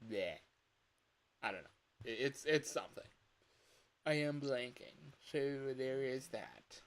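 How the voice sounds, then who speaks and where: A man's voice: mid-range voice, takes it slowly. A man chatting casually on a livestream in a rambling, distracted way. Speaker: game developer and livestream host. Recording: clear background hiss.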